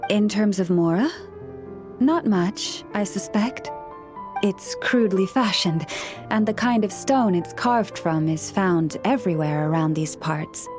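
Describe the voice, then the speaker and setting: An actress playing a gentle, scholarly young priestess in a video game, speaking in a formal, measured, courteous way. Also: female voice, medium pitch, close to an everyday voice. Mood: composed, earnest. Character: gentle, scholarly young woman, a nature-loving moon priestess. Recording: music behind the voice. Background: background music.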